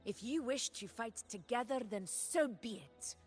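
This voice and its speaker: An actress playing a dignified, commanding warrior ruler in formal video game dialogue. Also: female voice, fairly high pitch, expressive, measured pace, breathy edge, faint music behind. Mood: proud, rousing. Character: resolute female warrior sovereign, commanding and dignified. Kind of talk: scripted video game acting.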